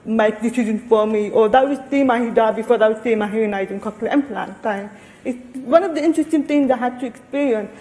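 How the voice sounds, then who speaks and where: Woman on a panel, kinda high voice, halting and thoughtful, big hall reverb. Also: mellow. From Australia. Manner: thoughtful, halting, restarts often. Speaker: researcher and panel guest. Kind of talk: panel discussion answers. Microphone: handheld microphone.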